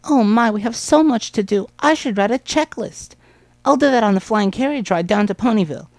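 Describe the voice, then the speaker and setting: A young female cartoon pony, busy and organised , put on by a male reader. The voice is pushed up high. It sounds close and dry. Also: expressive, brisk, darkish tone, dry recording. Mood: eager, fussing. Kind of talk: amateur dramatic reading of fan fiction.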